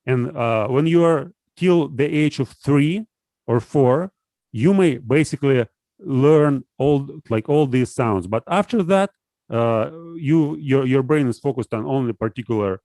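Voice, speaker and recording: Man, halting and hesitant, casual discussion, medium pitch, dry room sound. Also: mellow, no echo. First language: Russian. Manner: hesitant, halting, searching for words.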